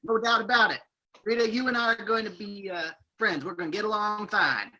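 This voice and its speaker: Performer as a husky, boisterous male prospector. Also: mid-range voice, brisk, bright, forceful tone, low-quality recording. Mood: flirtatious, jovial. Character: boisterous, flirtatious male gold prospector named George. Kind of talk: old-time radio drama reading.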